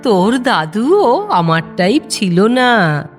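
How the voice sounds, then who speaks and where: A grandmother who talks very slowly with a lively, rising and falling tune. She is affectionate, wry and teasing, like a character in a romantic radio play. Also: medium pitch, soft background music. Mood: amused, nostalgic. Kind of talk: Bengali audio drama acting.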